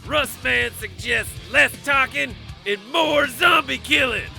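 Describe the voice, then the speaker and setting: An actor playing a grizzled, folksy old survivor with a gravelly voice, who talks of himself in third person in an audio drama. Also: male voice, extremely high pitch, takes it slowly, exaggerated voice. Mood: urgent. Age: older. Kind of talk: dramatised comic voice acting. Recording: music bed behind the voice.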